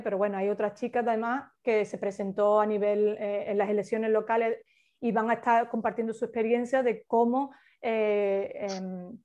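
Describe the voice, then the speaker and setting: A woman's voice: medium pitch, a little mellow. A woman leading a workshop, explaining at length in an informal way, with many hesitations and restarts, over a video call. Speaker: workshop facilitator.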